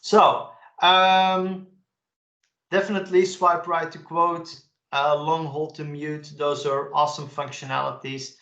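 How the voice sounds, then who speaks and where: Man, gravelly voice, casual software walkthrough, roomy echo. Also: a little high, little pitch movement, takes it slowly, lo-fi sound. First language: Dutch. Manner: casual, enthusiastic, frequent "uh" fillers. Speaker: webinar presenter. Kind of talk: live software demo walkthrough.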